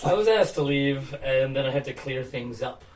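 A man's voice: mid-range voice, expressive, moderate pace, far from the mic. A Canadian man in his thirties, a comedian guest on a podcast, telling stories in a dry, wry way. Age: 30s. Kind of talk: casual storytelling chat.

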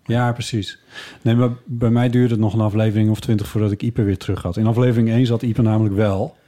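Man in halting, casual conversation. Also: on the low side, fairly even intonation, warm tone. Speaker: podcast host. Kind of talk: casual chat.

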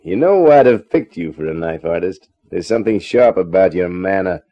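An actor playing a wisecracking, sardonic private detective with slangy, terse delivery in a 1950s radio crime drama. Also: male voice, low-pitched, expressive, normal speed. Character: wisecracking, hard-boiled male private detective. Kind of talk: 1950s radio detective acting with first-person narration. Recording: dull old radio recording.

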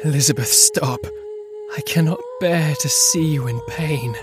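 A woman's voice: low-pitched, a little slow, over music. A woman voicing a devoted, brave man in love, solemn and tender, in a dramatic gothic story. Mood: pained, tender. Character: devoted, brave young male lover, gentle and solemn.

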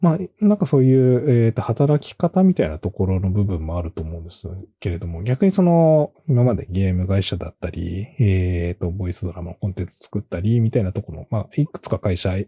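A man hosts an interview podcast in a very dark, mellow voice with a slightly husky edge. He speaks politely and conversationally, like someone talking shop with a guest. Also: deep voice, very animated, fairly quick, few pauses, dry recording. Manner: polite, frequent listening backchannels. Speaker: podcast host and company co-founder. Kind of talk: relaxed business interview chat.

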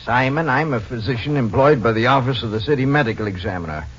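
A grudging city medical examiner in an old radio mystery. He is skeptical and plain-spoken, with a mid-range, slightly rough voice. The recording is dull and lacks sparkle. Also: mid-range voice, quick pace, a bit bright. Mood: reluctant, matter-of-fact. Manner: questioning, matter-of-fact. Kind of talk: old-time radio detective drama acting.

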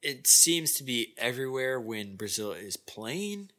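A man's voice: medium pitch, very animated, a little slow, sharp tone. A man co-hosting a podcast, chatting casually and agreeably as he reacts to his co-host.

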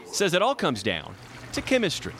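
Lively man narrating a news report. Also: high voice, moderate pace, very noisy. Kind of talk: scripted news package narration.

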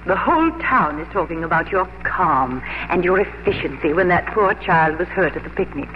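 A woman's voice: lowish voice, lively intonation, normal speed, lightly acted. An actress playing a shrewd, worldly older society lady and matchmaker, knowing and theatrical, in 1950s radio drama style. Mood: knowing, sly. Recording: muffled, hissy old radio broadcast.

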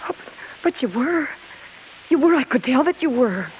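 High-voiced, brassy, emotional star wife. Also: female voice, lively intonation, normal speed. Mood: wounded. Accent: American. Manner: emotional, slangy. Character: spirited, wounded star wife, brassy and pleading. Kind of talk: 1950s radio drama acting. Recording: muffled, hissy 1950s radio broadcast.

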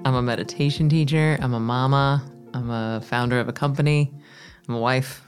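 A woman in her thirties from Florida, a meditation teacher with a low voice, telling her story in an animated, chatty way. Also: normal speed, soft background music. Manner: animated, chatty, self-deprecating. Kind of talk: casual interview storytelling.

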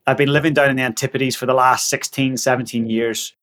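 A man in his thirties from Northern Ireland with a Northern Irish accent, an interview guest telling energetic stories and laughing often. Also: medium pitch, quick pace. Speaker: guest, leadership coach. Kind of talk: storytelling in an interview.

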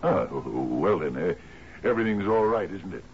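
An actor in a radio drama playing an anxious, genial older island captain, halting and stammering as he pleads. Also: low voice, expressive. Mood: troubled, pleading. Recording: muffled, hissy old radio broadcast.

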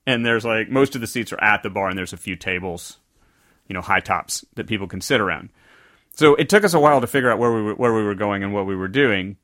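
A man's voice: lowish voice, fast, a bit bright, dry recording, studio quality. A man from the American South, co-hosting a casual podcast and telling a rambling story that often circles back to correct itself. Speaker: podcast co-host. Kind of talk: casual conversational podcast chat.

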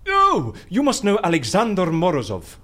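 An actress playing an excitable, whimsical female boss in an audio drama, effusive and theatrical with quaint turns of phrase. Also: lowish voice, moderate pace, exaggerated voice. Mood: gushing.

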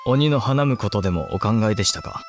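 An actor playing a young samurai lord in a Japanese period voice drama, wry and easygoing, with old-fashioned bantering speech. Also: male voice, on the low side, lively intonation, a little fast, faint music behind, close to an everyday voice. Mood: amused, bemused.

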